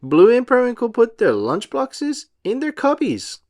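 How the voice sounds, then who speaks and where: A storybook reader narrating a picture book for young children in an expressive, sing-song way. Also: mid-range voice, normal speed. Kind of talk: animated read-aloud of a children's picture book.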